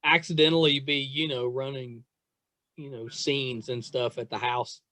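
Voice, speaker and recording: A middle-aged man hosting a podcast, chatting and telling stories in an excitable, effusive way, repeating phrases for emphasis. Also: medium pitch, unhurried. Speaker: podcast host interviewing a guest. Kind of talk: casual chat, storytelling.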